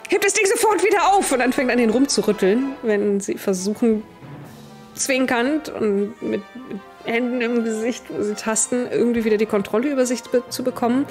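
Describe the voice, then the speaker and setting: A woman's voice: on the high side, fairly even intonation, moderate pace, darkish tone, soft background music. Young woman narrating as game master. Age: young adult. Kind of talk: improvised tabletop game narration.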